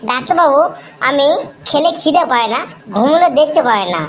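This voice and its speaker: Woman voicing a high-pitched naive cartoon patient. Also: expressive, brisk. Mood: earnest, naive. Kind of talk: comic cartoon dialogue.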